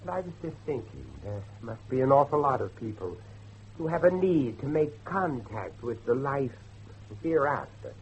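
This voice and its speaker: A man's voice: mid-range voice, very animated, moderate pace, breathy edge, full character voice, low-quality recording. Man acting a brooding, intense grieving father, swooping delivery. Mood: grave, brooding. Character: grieving father, an intense, grave believer in devilry. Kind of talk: dramatic horror dialogue acting.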